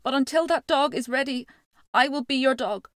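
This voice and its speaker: A woman's voice: sits high, moderate pace, no room sound, studio quality, voice pushed up, natural voice. Woman doing a tearful earnest young boy. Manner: pleading, tearful promises.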